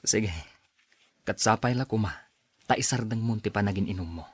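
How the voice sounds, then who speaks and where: A kind, patient young suitor in a radio drama. His voice is on the low side and husky, recorded dry. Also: moderate pace, dry recording. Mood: gentle, advising. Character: kind, patient young man advising the woman he loves. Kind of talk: radio drama acting in dialogue.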